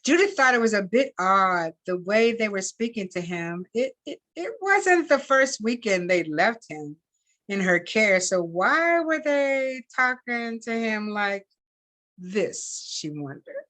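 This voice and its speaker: A woman reading fiction aloud as a host, expressively and deliberately, sometimes repeating words. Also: mid-range voice, big pitch swings, fairly bright tone. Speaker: reader and event host.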